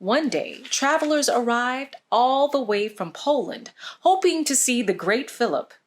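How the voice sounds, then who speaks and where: A woman reading a storybook aloud for children, with expressive, deliberate phrasing. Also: high voice. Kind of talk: scripted storybook narration for children.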